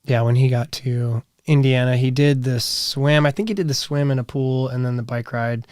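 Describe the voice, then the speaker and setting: Hoarse American man hosting a livestream. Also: medium pitch, normal speed, a little mellow, no room sound, clean studio sound. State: slightly hoarse voice. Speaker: livestream host. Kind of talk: casual live chat, reading viewer comments.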